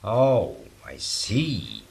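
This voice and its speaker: A man's voice: on the low side, huge pitch swings, extremely slow, gravelly, darkish tone, heavily acted. An actor playing a bossy, jolly Northern English rebel king in a comic audio drama, blunt and chummy.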